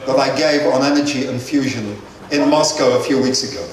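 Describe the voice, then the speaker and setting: A man's voice: mid-range voice, steady tone. Older man answering questions in an echoey hall. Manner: measured, earnest, with frequent fillers. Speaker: guest speaker answering audience questions. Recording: echoey hall, distant mic, faint noise.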